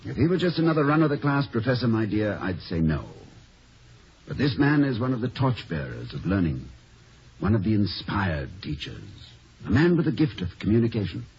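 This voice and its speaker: An actor playing an urbane middle-aged college president, wordy and dryly witty, in a 1950s radio comedy. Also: male voice, on the low side, very animated, a little fast, darkish tone. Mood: earnest. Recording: dull, hissy 1950 radio recording.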